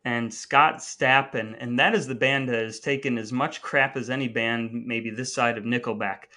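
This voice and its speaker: A man with a medium-pitched voice co-hosts a podcast. He chats casually and with humour, in a wry, mock-serious manner. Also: steady tone, moderate pace, pushed and bright. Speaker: podcast co-host. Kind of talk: casual humorous podcast chat.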